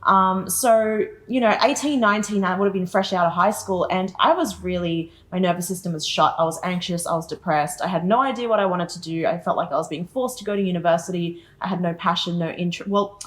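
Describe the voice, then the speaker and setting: A woman's voice: lowish voice, a little fast, hardly any pauses. Reflective young Australian woman talking. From Western Sydney, Australia. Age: 20s. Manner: open, reflective, laughs easily. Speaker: yoga teacher and guest. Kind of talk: casual interview chat. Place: studio lounge.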